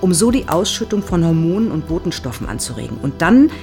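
An actress playing an eager, probing middle-aged research psychologist who explains politely in an audio drama. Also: female voice, lowish voice, big pitch swings, fast, a little mellow, faint music behind. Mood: polite, explaining. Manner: polite, explanatory, keenly interested. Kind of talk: naturalistic audio drama dialogue.

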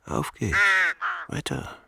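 A man playing a gruff, bossy character who mutters and commands in a German radio drama. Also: slow pace, sharp tone, a little hiss or hum. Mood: grumbling to himself.

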